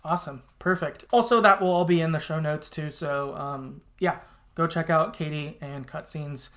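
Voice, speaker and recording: A man's voice: fairly high pitch, moderate pace, a bit bright. A man hosting a podcast in a casual, rambling and agreeable chat style with some hesitation. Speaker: podcast host.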